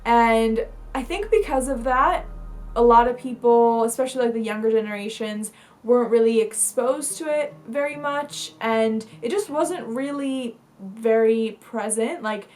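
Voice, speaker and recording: A woman's voice: on the high side, measured pace, darkish tone, faint music behind. A young woman from Bulgaria, a lifestyle vlogger talking casually to camera, chatty, with lots of fillers and restarts.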